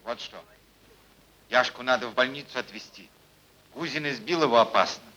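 An actor playing a blunt, stubborn young bakery worker in a stage drama, speaking tersely and plainly with restraint. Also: male voice, mid-range voice, normal speed, bright, forceful tone, muffled recording, natural voice. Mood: firm, restrained. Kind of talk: theatrical dramatized prose performance.

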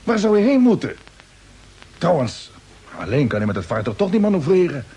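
An actor playing a grave, commanding spaceship captain in an old-style radio play. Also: male voice, on the high side, sing-song, brisk, mellow, breathy edge, exaggerated voice. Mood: pondering, grave. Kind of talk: Dutch radio play acting, mid-century. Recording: noisy old radio drama recording.

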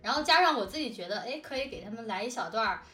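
A woman's voice: fairly high pitch, expressive, very fast, bright, forceful tone, roomy sound, far from the mic, soft background music. A talkative woman chatting casually about production work, explaining things at length and correcting herself as she goes. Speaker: audio drama production team member and lyricist. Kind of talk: casual behind-the-scenes chat.

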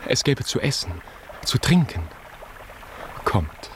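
An actor playing a courteous, earnest nobleman and father, solemn and measured in 1950s German radio drama style. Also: medium pitch, very animated, background noise. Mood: earnest, pleading.

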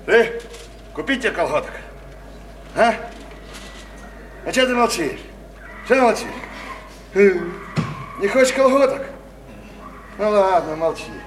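A man's voice: high-pitched, lively intonation, slow pace, pauses often. An actor playing a crude, bullying married working-class man in a Russian radio play, coarse and slangy, jeering and repeating himself insistently. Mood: coaxing, needling. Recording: roomy, slightly noisy stage-like radio recording.

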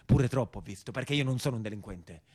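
Young imprisoned brigand played by a male actor: low, bitter, urgent, southern Italian accent, intimate stage monologue. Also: quick pace, a little mellow, slightly rough, lightly acted. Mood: bitter, anguished. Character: anguished young male brigand pleading with his mother. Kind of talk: one-man theatrical monologue.

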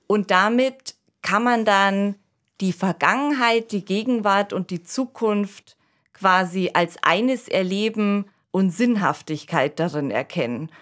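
Woman co-hosting a podcast, medium pitch, chatty and enthusiastic, everyday wording. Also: takes it slowly, fairly bright tone. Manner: enthusiastic, colloquial. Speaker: podcast co-host. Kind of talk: conversational discussion of science fiction.

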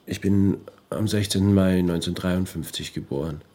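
A man's voice: low voice, normal speed, mellow. An actor playing a penniless, principled detainee who narrates his ordeal in a German radio drama. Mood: guarded, defiant.

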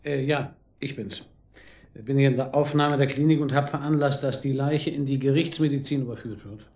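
Man acting police chief inspector, mid-pitched, dry, wry, sceptical, hesitant phrasing, radio drama. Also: fairly even intonation, normal speed, a little mellow, natural voice. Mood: businesslike. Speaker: detective.

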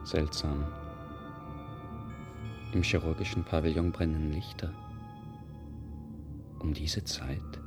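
An actor playing a quietly watchful, puzzled man in a radio drama, brooding and understated. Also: very deep voice, steady tone, takes it slowly, long pauses, over music, exaggerated voice.

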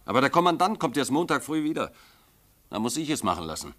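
An actor playing a scrupulous, anxious army lieutenant in a radio play, with an earnest, uneasy manner and correct military diction. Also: male voice, mid-range voice, very animated, fast talker, fairly bright tone. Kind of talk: German radio play acting.

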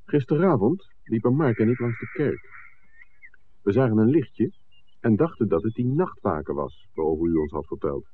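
An earnest, persistent man looking into village secrets in an old radio play. His lowish voice is lively in pitch, courteous and articulate. It sounds thin and phone-like, as on an aged broadcast. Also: moderate pace, a little hiss or hum. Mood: earnest, factual. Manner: polite, articulate, insistent. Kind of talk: 1960s Dutch radio drama acting.

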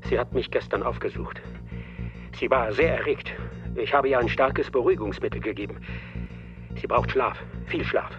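Worried doctor, dull phone sound, clipped, urgent, music underneath, radio drama. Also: male voice, medium pitch, moderate pace, long pauses. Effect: telephone filter. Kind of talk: radio crime drama acting. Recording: dull telephone-like sound over loud music.

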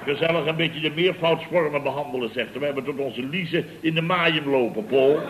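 An actor playing a blustering, self-important building contractor boss in a vintage radio comedy, with a pompous, rambling way of telling things. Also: male voice, on the high side, a little fast, fairly bright tone, a little hiss or hum, lightly acted. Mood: animated storytelling. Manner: pompous, rambling, chuckling raconteur with exclamations. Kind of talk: 1960s-70s Dutch radio comedy acting. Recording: dull vintage radio recording.

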